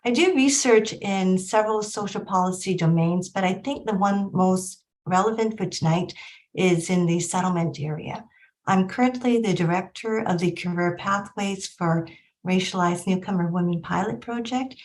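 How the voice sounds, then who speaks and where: Middle-aged woman from Hong Kong, measured researcher on a video call panel. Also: mid-range voice, a little mellow, rough recording. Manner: measured, with frequent ums. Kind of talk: panel discussion. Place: home or office in Vancouver.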